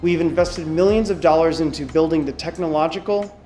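A man's voice: on the high side, mellow, soft background music. Earnest man reading a letter. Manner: measured, earnest delivery to camera. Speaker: company spokesman. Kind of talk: scripted open letter reading. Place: indoor hardware lab.

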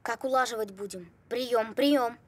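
A sensible young cartoon boy, earnest and somewhat high. He speaks matter-of-factly over background music. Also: a little slow. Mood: puzzled.

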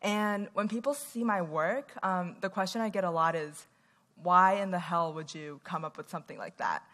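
Young woman giving a stage talk, kinda low voice, lots of ums, echoey hall. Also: moderate pace, fairly bright tone. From Taiwan. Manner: frequent "um" fillers, earnest. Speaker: conference speaker. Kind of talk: stage talk presenting research. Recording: long hall reverb.

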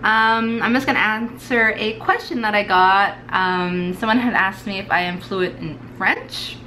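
A woman's voice: medium pitch, unhurried, sharp tone, a little hiss or hum, low-quality recording. A young woman from Montreal in her twenties, chatting casually and cheerfully to a handheld camera in her bedroom as a lifestyle vlogger. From Montreal, Canada. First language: English. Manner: chatty and upbeat, laughs often. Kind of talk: casual vlog chat to camera. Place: small bedroom at home. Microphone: handheld camera at arm's length.

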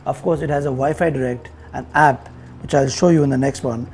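A man's voice: medium pitch, steady tone, brisk, a little mellow, slight background noise. A man in his forties with an Indian accent, giving a casual, conversational product review to viewers. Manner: conversational, addressing viewers directly. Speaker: tech reviewer presenting an unboxing. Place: indoor showroom-like room.

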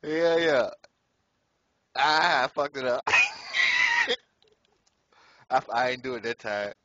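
A man's voice: medium pitch, lively intonation, slow, a bit bright, highs cut off. A man casually commenting on his gameplay in a slangy, profane way, laughing often. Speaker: gameplay streamer.

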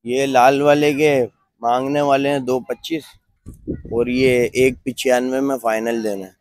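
A man's voice: medium pitch, level tone, measured pace, a little mellow, no echo. A man from Pakistan selling cattle at an outdoor market, quoting prices in short, firm answers. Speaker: cattle seller.

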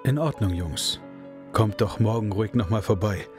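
A man's voice: fairly low pitch, normal speed, over music, close to an everyday voice. A man playing a hesitant grieving father. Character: grieving middle-aged father of a missing girl. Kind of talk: audio drama acting.

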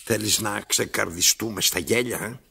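A man's voice: fairly low pitch, gravelly, sharp tone, slightly rough, full character voice. An actor playing an imperious, querulous old man in radio theatre, theatrical and demanding, repeating himself. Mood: weary, brooding.

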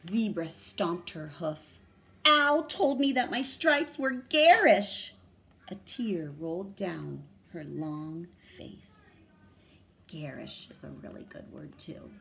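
Expressive, lower-voiced woman. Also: vocal fry, slight background noise. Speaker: read-aloud host. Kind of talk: storybook reading with vocabulary explanations. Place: small room indoors.

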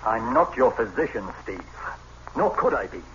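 An actor in an old-time radio drama playing a composed doctor, an analytical old friend with a measured, coaxing way of talking. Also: male voice, mid-range voice, very animated, close to an everyday voice. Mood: coaxing, nostalgic. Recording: muffled, noisy vintage radio recording.

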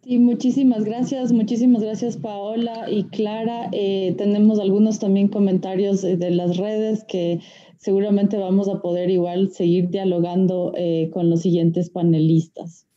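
A woman moderates a conference panel over a video call, her voice very dark and mellow. She introduces speakers formally, with frequent filler pauses. The audio is somewhat dull and lo-fi. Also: mid-range voice, level tone.

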